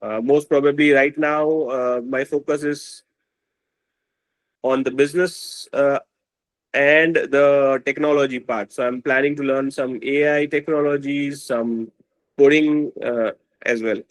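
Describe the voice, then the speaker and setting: A man, a podcast guest, talking in a hesitant, halting way full of fillers. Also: medium pitch, fairly even intonation, no echo, rough recording. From India. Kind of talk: casual interview talk.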